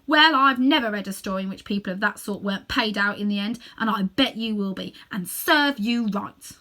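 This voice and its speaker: A woman's voice: mid-range voice, normal speed, bright, forceful tone. A woman voicing a plucky, indignant young schoolboy in a children's storybook, blunt, accusing and emphatic. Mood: defiant.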